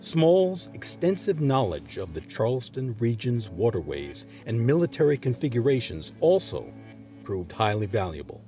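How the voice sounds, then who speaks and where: A man's voice: mid-range voice, sing-song, moderate pace, mellow, lo-fi sound. A man narrating history in a scripted documentary style. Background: background music.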